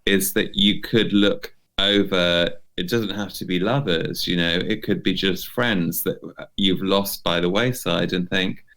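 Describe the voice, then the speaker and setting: A man's voice: low voice, gravelly, a bit bright. A man co-hosting a podcast, talking in a casual, musing way. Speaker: podcast co-host. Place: remote video chat recording.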